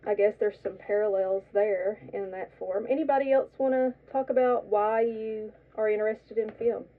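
A woman's voice: medium pitch, fairly even intonation, normal speed. A woman hosting a radio talk show, asking young guests curious, friendly questions in a studio. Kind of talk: radio interview. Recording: distant mic, narrow phone-like sound.

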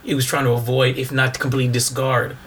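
A hoarse man co-hosting a podcast in casual chat, rambling and restarting his sentences. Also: medium pitch, level tone, quick pace, a bit bright, a little hiss or hum. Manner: rambling, repeats words and restarts often. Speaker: podcast co-host.

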